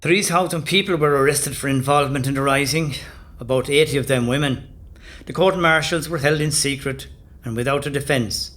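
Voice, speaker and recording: An actor as the earnest narrator of a historical audio drama, reading from a script. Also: male voice, medium pitch, a little fast, a bit bright, natural voice. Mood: measured.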